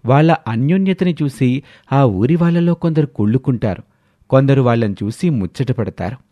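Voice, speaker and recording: A man narrating a moral story in steady storybook style. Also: medium pitch, moderate pace, no echo, highs cut off. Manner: steady storybook narration, voicing the men too.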